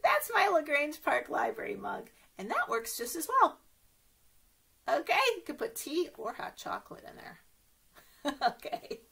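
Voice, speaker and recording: A woman doing a children's story time, animated and sing-song, speaking straight to young listeners and asking them questions. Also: high voice, measured pace, creaky. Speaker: children's librarian reading stories to kids.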